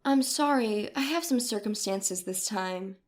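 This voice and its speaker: A woman's voice: mid-range voice, measured pace, voice pushed down, close to an everyday voice. A woman voicing a caring but persistent grandmother in dialogue from a narrated story. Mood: apologetic. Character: indignant, caring, persistent grandmother confronting her family.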